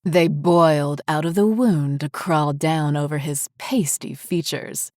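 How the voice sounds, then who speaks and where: A woman's voice: low-pitched, unhurried, a little mellow, dry recording, clean studio sound. A woman narrator reading a scripted horror story.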